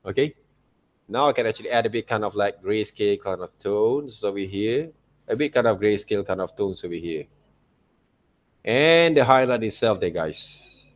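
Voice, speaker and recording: Guy teaching a drawing demo off the cuff, lowish voice, halting, keeps repeating himself and checking in. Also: lively intonation. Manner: halting, repetitive phrasing with frequent "okay, right, see" checks. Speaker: art teacher giving a tutorial. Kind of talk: unscripted step-by-step drawing demonstration.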